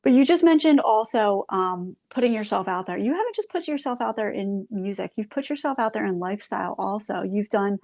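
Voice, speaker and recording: A woman hosting a podcast interview, asking friendly questions and giving quick affirmations. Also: medium pitch, animated, a little mellow. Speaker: podcast host. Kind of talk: casual interview chat.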